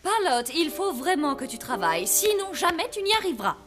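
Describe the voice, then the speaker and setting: Prim, swooping high-voiced schoolmistress. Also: female voice, sing-song, a little fast, loud music behind the voice. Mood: stern. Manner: prim, theatrical, lecturing. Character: prim female schoolteacher, strict, openly favouring pets. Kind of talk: animated series dubbing.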